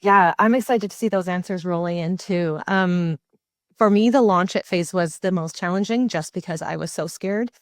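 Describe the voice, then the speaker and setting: A woman's voice: fairly low pitch, normal speed, dry recording, studio quality. A woman speaking as a panel guest, telling her work experience in a candid, enthusiastic and informal way. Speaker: customer support leader, panel guest. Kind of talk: casual unscripted storytelling on a panel.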